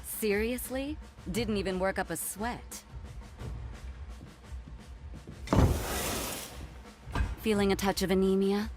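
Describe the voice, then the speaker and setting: A woman's voice: medium pitch, moderate pace, over loud music, natural voice. An actress playing a haughty, playful and predatory noblewoman in a video game, teasing in an elegant, theatrical way. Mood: playful, confident. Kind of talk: video game cutscene acting.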